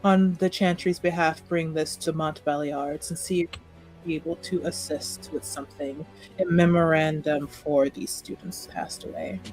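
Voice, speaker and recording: A woman's voice: deep voice, fairly even intonation, darkish tone, soft background music, close to an everyday voice, poor audio. Woman playing a gracious, formal noblewoman. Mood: earnest, dutiful. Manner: formal, measured, careful wording. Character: gracious, diplomatic noblewoman, earnest and courteous. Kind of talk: tabletop roleplay dialogue.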